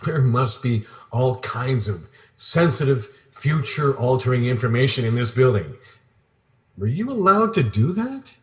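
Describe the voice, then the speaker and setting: A man plays a mild, befuddled space adventurer. His mid-pitched voice is plain and measured, earnest in the middle of a sci-fi comedy. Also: sing-song. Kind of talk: comic sci-fi audio drama acting.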